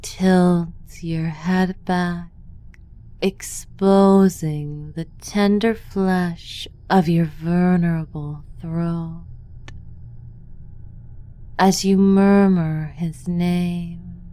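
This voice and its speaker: A woman leads a guided meditation with extremely slow, deliberate phrasing. Her voice is lowish and soft-edged, dark in tone. She delivers the script in a hushed, incantatory manner. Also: steady tone, clean tone.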